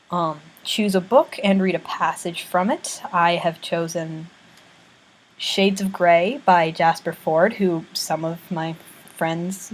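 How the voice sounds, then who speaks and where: A woman's voice: fairly low pitch, rough recording. Chatty young woman on a webcam. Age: young adult. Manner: chatty, frequent ums, self-deprecating asides. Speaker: vlogger. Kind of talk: casual chat and reading aloud. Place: small bedroom with bunk bed, indoors. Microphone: built-in webcam mic.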